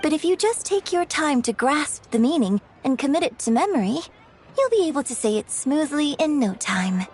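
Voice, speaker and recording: Gracious, high-voiced young lady performer. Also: sing-song, moderate pace, a little mellow. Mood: encouraging. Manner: polite, patient, explanatory. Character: gracious, composed young female opera performer. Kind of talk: video game voice acting.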